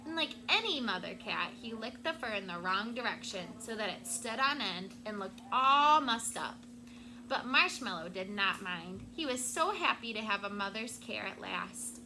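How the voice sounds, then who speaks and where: A woman's voice: medium pitch, moderate pace, noisy background. Woman teacher reading a story aloud. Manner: expressive storytelling, stops to explain words. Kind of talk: reading a picture book aloud to a class. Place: classroom.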